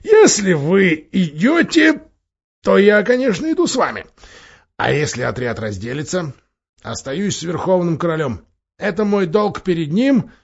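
A sceptical, loyal dwarf acted by a man reading a storybook grumbles bluntly and with emphasis. His pitch jumps around in a lively way. The recording is a little muffled. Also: measured pace, creaky, slightly rough, heavily acted. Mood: gruff, emphatic. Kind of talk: audiobook character voice.

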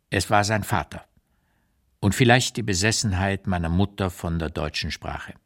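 Old émigré writer, radio monologue. Lowish, highly modulated, opinionated, reflective, deliberate delivery. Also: male voice, fairly bright tone, full character voice. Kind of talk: literary radio play reading, dramatic monologue.

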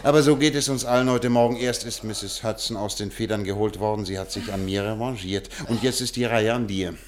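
A man's voice: fairly low pitch, a little fast, close to an everyday voice. A man acting a courteous, observant, self-assured detective in a German radio play, speaking formally and precisely. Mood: brisk, teasing.

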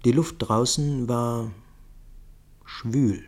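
A man's voice: mid-range voice, steady tone, extremely slow. A man reading scripted, literary first-person narration for a radio play.

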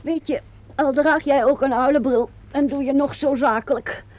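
A woman's voice: high-pitched, steady tone, moderate pace, darkish tone, close to an everyday voice. An actress playing a self-possessed, teasing woman of the theatre in a radio drama, bantering and flippant. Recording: dull lo-fi radio play recording.

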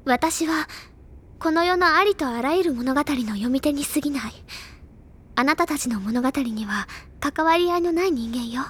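A woman playing a wise, bookish older schoolgirl who speaks in a measured, solemn, literary storytelling manner. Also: high voice, lively intonation, fairly bright tone, slight background noise. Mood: calm, solemn. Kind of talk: dramatic storytelling monologue. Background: music.

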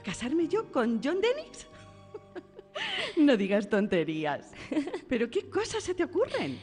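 Teasing young girl's mother, very animated. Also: high-pitched, slow, long reverb tail, soft background music, exaggerated voice. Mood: amused. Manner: teasing, indulgent. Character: playful, affectionate mother of a young girl. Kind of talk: radio drama acting.

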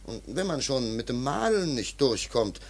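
A man's voice: mid-range voice, very animated, normal speed. A man acting in a radio drama as a polite, resigned and frustrated struggling artist. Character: resigned, frustrated, polite painter turned hack writer.

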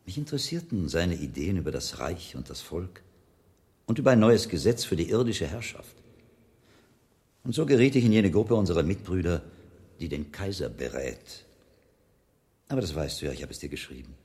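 Clever calm friar, low voice with lots of melody, wry, radio play. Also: male voice. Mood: reflective, warm. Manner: measured, wry, thoughtful. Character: William, shrewd, learned English friar, calm and wry. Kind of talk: radio drama acting.

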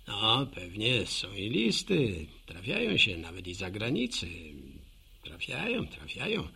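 Gravelly, husky village postman. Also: medium pitch, a bit bright, exaggerated voice. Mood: weary, chatty. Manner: folksy, rambling, musing aloud to himself and listeners. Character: chatty, homespun old village postman, proud local gossip. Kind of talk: radio drama monologue.

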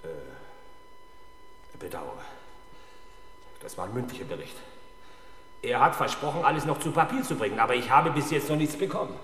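A gruff, insistent police inspector of middle age, in an old radio crime drama. He is stubborn, embittered and quick-tempered, with a sardonic edge to his words. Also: mid-range voice, sing-song, a bit bright, slight background noise. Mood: controlled impatience. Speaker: actor in a radio crime play. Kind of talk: 1970s German radio drama acting.

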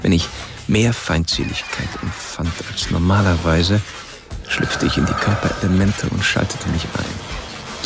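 An actor playing a cold, cerebral killer who narrates his obsessions in a measured, lecturing way in a radio crime drama. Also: sits low, vocal fry. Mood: coolly confessional. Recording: music under the voice. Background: music.

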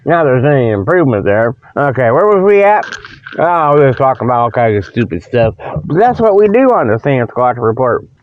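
A man's voice: medium pitch, very animated, a little fast, narrow, tinny audio, slight background noise. A man hosting a comic radio report in a folksy, meandering monologue, with a bit of a frog in his throat. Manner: folksy, colloquial, meandering, often losing the thread. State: slight frog in the throat from a lingering cold. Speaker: host of a humorous report show. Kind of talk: rambling comic radio monologue with mock sponsor pitches.

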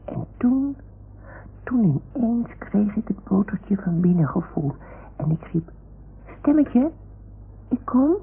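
A woman playing a small, timid toy dog who tells stories in a childlike, hushed way in a radio play. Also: mid-range voice, sing-song, takes it slowly, pauses often. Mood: hushed, excited. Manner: childlike, breathy hushed storytelling. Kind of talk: 1960s-70s radio play acting. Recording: muffled, hissy old radio recording.

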